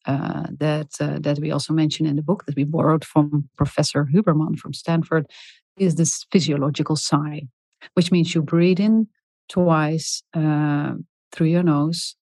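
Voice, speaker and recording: A middle-aged woman speaking in a calm, soft, deliberate way as a podcast guest, with many small pauses and ums. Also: low voice, dry recording, poor audio. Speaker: guest expert on leadership. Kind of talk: podcast interview.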